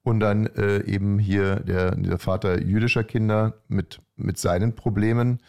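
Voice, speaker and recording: A man co-hosting a podcast, talking in a casual, hesitant way with many pauses and restarts. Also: lowish voice, fairly even intonation, creaky, warm tone. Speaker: podcast co-host. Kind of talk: casual conversational commentary.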